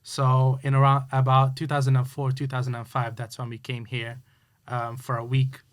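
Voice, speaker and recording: A man talks through his story as an interview guest. His voice sits at an ordinary middle pitch. He speaks earnestly and halts often, with plenty of fillers and restarts. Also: completely flat delivery, normal speed. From Hungary. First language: Hungarian. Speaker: guest, youth sports coach. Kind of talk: casual interview chat.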